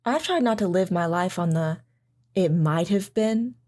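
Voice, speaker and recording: A woman's voice: lowish voice, unhurried, darkish tone. Woman acting a wistful, loving mother. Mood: wistful, tender. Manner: reflective, sentimental. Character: loving, wistful mother recalling camping with her daughter. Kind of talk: audio drama narration and dialogue.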